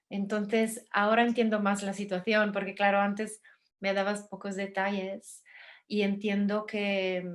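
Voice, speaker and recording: A woman from Spain with a Spanish accent, giving calm, gentle advice on a video call, pausing and restarting often. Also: mid-range voice. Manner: gentle, halting, with many pauses and restarts. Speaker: guest speaker, survivor. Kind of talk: calm advice in a live talk.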